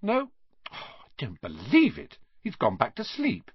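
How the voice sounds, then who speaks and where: A man's voice: on the high side, wildly swooping pitch, a little slow, breathy edge, no room sound, dull audio, voice pushed up, full character voice. A man voicing a blunt, grumbling young schoolboy in a storybook, speaking informally. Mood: indignant. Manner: informal, indignant, sometimes dark muttering.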